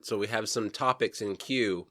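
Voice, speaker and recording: A man co-hosting a podcast in casual chat, dropping crude jokes in a deadpan way. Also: lowish voice, moderate pace, no echo. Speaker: podcast co-host.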